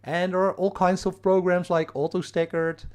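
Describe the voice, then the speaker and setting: A man with a strong Dutch accent explains astrophotography live on a stream, reading and answering viewer comments as they come in. His voice sits a bit higher than most men's, and he talks casually, with plenty of fillers and restarts. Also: little pitch movement, a little mellow, smooth. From Netherlands. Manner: halting, many fillers and restarts; reads and answers viewer chat. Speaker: astrophotography livestream host.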